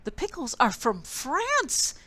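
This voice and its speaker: A woman's voice: high voice, huge pitch swings, slow pace, pushed and bright, voice pushed up, heavily acted. A woman voicing a stern, grumpy male bear in a storybook, pompous and scolding as he declaims.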